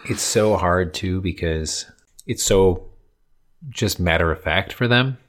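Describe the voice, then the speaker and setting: A man's voice: low voice, slow, fairly bright tone. A man co-hosting a podcast in casual chat, chiming in with wry, dry asides. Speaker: podcast co-host.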